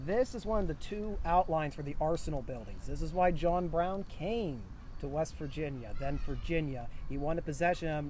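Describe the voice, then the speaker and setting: A man's voice: a little high, lively intonation, moderate pace, hardly any pauses, darkish tone, slight background noise. A young man hosting a casual video introduction with jokey, mock-grand banter, recorded outdoors. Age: young adult. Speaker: host. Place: outdoors at a historic site.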